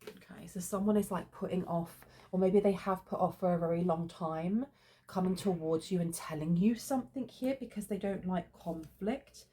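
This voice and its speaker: A woman's voice: lowish voice, moderate pace, dark tone, slight background noise. A woman with a head cold, sniffly, giving a chatty tarot card reading with plenty of ums. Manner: chatty, many filler ums. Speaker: tarot reader.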